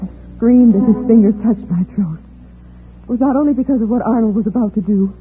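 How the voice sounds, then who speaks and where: A woman's voice: a little high, fairly quick, lightly acted. A woman playing a frightened, anguished wife in old-time radio drama, with a melodramatic, hesitant delivery. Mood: haunted. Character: frightened, anguished wife fleeing her murderous husband. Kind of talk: 1940s radio acting, dramatic narration and dialogue. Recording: tinny old radio recording with music.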